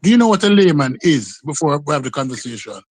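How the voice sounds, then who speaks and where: A man's voice: fairly high pitch, very animated, fast, breathy edge, dry recording. A man in his sixties debating on a podcast, who keeps repeating pointed questions. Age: 60s. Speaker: podcast guest. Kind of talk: heated casual debate.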